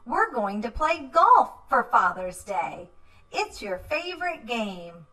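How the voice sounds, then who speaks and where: Excitable, high-pitched little boy frog, played by a woman. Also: slow, distant mic, exaggerated voice. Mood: eager. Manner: exclamatory, bouncy, eager.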